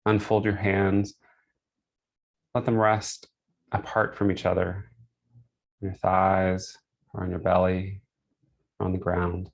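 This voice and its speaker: A man with a fairly low voice and a clearly gravelly, creaky edge leads a guided meditation. He gives soothing instructions in gentle, short phrases. Pauses separate them, so nothing sounds hurried. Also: flat delivery, highs cut off.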